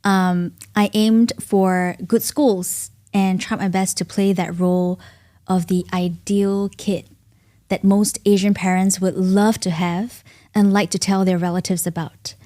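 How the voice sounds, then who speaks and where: A woman with a Singaporean accent hosts a solo podcast in a studio, speaking earnestly and reflectively in deliberate phrases with pauses. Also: mid-range voice, level tone, warm tone. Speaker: podcast host.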